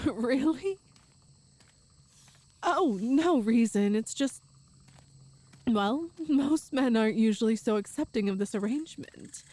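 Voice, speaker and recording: Woman playing a slow-talking gentle Amazonian warrior woman. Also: a little high, expressive, dry recording. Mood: surprised, curious. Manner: gentle, slightly formal, giggly phrasing. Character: tall strong Amazonian woman, cheerful, doting, eager. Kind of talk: ASMR roleplay audio drama.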